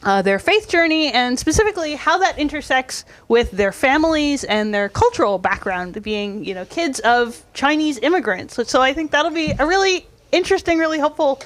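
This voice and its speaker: A woman's voice: sits high, expressive, moderate pace, hardly any pauses, no echo. A young woman hosting a casual podcast interview, prompting her guests with friendly questions and frequent okays. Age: young adult. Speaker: podcast host.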